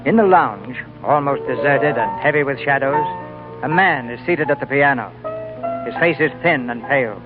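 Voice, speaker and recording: A man giving a measured, atmospheric scripted narration for a radio drama. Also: medium pitch, expressive. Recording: lo-fi old radio broadcast with music.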